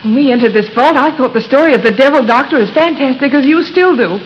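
Nervous young daughter, an actress doing her, high voice, dull old radio sound. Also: quick pace, slight background noise, close to an everyday voice. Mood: anxious. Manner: earnest and anxious. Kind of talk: 1930s radio drama acting. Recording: muffled old radio broadcast.

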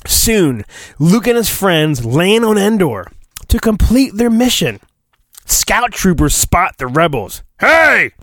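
A man's voice: high voice, very animated, slow pace, no room sound. A man hosting solo, reading a storybook in mock-dramatic fashion with rambling, sarcastic asides. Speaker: solo podcast host.

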